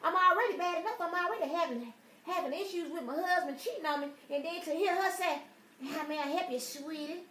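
A woman's voice: very high voice, fairly quick, bright, forceful tone. A woman playing an aggrieved, easily offended customer, rambling and swearing through a prank phone complaint. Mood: aggravated. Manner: indignant, rambling, repetitive, foul-mouthed. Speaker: prank caller. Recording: roomy, distant, noisy phone prank recording.

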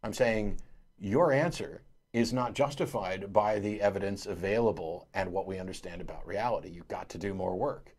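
A man's voice: sits low, normal speed, a little mellow. A middle-aged man hosting a call-in show in a studio, explaining his points emphatically and gesturing as he talks. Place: studio, at a desk. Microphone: lapel mic.

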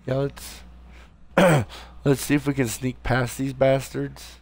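A tired, hoarse man giving casual let's play commentary, swearing and yelping at the game. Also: mid-range voice, level tone, slow pace. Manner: swears a lot, yelps at the game.

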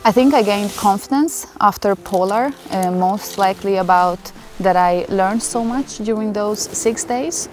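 Young woman, from Hungary, English, emotional storytelling, mid pitch, music behind. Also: unhurried, hardly any pauses, low-quality recording. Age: young adult. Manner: earnest, emotional, chatty with fillers. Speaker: interviewee. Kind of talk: personal storytelling interview. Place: outdoors in a forest. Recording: clear music bed under the voice.

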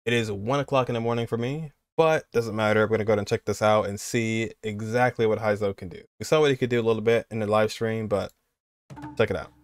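A man's voice: fairly low pitch, steady tone, fast talker, no echo, studio quality. A man in his twenties giving casual, conversational commentary as the host of a game reaction video. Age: 20s.

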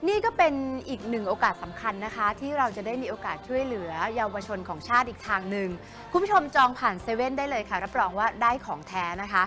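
A woman's voice: fairly high pitch, expressive, fast talker, hardly any pauses, music in the background. A woman reading scripted promotional narration as an entertainment news presenter.

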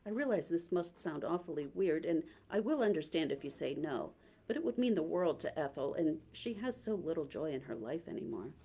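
A woman reading the part of an older man, gentle and pleading. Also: sits low, big pitch swings, fairly quick, breathy edge, exaggerated voice. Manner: pleading, hesitant.

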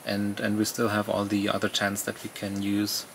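A man, chatting casually and haltingly as a camping vlogger. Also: lowish voice, monotone, creaky, fairly bright tone, a little hiss or hum. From Germany. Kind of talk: casual vlog chat. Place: outdoors at a campsite and in an awning tent.

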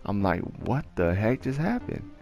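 A young man telling personal stories in a casual, rambling, slangy and excitable way. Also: on the low side, moderate pace, gravelly. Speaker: video creator telling personal ghost stories. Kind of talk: casual storytelling over gameplay. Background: music.

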